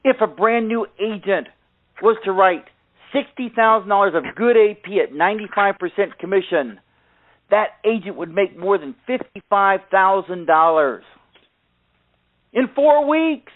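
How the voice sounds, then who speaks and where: A man hosting a sales conference call, giving an emphatic, deliberate motivational introduction that repeats figures for effect. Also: sits high, animated. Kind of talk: scripted motivational introduction of a top seller. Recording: tinny phone-line conference call.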